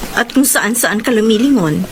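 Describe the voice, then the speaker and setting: A Filipino woman playing a calm, soothing pregnant mistress in a radio drama. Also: a little high, moderate pace, background noise. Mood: calm, reassuring. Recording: loud music under the voice.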